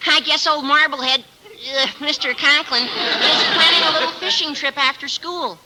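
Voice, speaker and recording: An actor playing an eager, chipper teenage schoolboy with a high cracking voice and flowery, excitable delivery in a 1950s radio comedy. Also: male voice, moderate pace, a loud crowd, very noisy. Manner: excitable, exaggerated. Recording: old radio broadcast recording.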